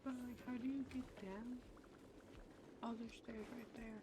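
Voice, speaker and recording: A woman's voice: on the high side, fairly even intonation, measured pace, background noise. A young woman streaming a game for the first time, chatting casually and laughing at her own confusion. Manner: chatty, self-mocking, easily confused. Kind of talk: casual gameplay commentary. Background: game music.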